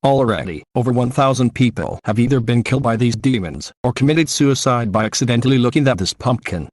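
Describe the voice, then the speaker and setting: A man's voice: mid-range voice, animated, fairly quick, darkish tone, a little hiss or hum. A man reading a scripted emergency news bulletin in a formal, declarative way. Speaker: news announcer.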